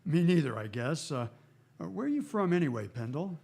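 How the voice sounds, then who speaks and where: An actor playing a firm, fair frontier marshal in a Western radio drama, plain-spoken and authoritative. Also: male voice, a little high, sing-song, normal speed, vocal fry, darkish tone, slightly rough, heavily acted. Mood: easy, curious.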